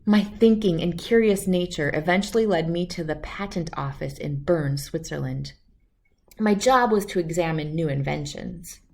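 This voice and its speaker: A woman reading a children's picture book aloud as an expressive storyteller. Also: lowish voice, moderate pace, creaky.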